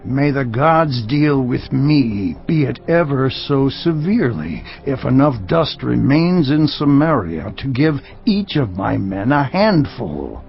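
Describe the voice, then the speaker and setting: A boastful, menacing warlord king, very slow and gravelly, swaggering through wide swings of pitch. It is an audio drama with a dull edge and music. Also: big pitch swings, exaggerated voice. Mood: boastful threat. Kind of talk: dramatised audio Bible acting. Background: background music.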